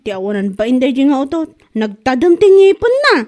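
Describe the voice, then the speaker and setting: A woman's voice: high voice, very animated, a little fast, darkish tone. A woman with an Ilocano Filipino accent acting a village woman in a comedy drama. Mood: complaining, scolding.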